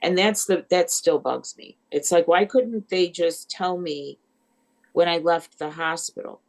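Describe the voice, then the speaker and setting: A woman's voice: fairly low pitch, unhurried. A woman in her sixties in a casual group chat, talking in an earnest, reflective way. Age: 60s.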